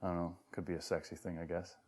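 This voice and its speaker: Man, very low deep voice, hoarse edge, halting speech full of fillers. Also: level tone, darkish tone. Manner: halting, many fillers and restarts. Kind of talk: personal interview recollection.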